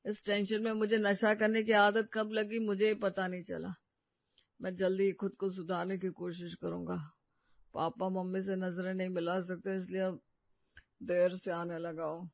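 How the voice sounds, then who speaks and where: A man's voice: sits high, monotone, normal speed, vocal fry. A man voicing a troubled young husband in a cartoon story, apologetic and self-pitying. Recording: dry dull lo-fi studio.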